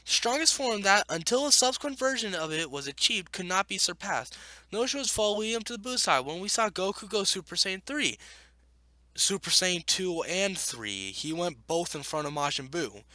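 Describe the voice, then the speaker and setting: A man hosting a video, reading aloud and arguing back with blunt, opinionated off-the-cuff commentary in a halting, self-correcting way. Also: high-pitched, expressive, sharp tone, no room sound. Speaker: video host reacting to an article.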